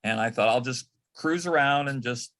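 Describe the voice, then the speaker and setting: A man's voice: medium pitch, moderate pace, a bit bright. An older man from the Detroit area, an interview guest chatting casually and often repeating words as he starts. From Detroit area, United States. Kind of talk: casual anecdotal chat.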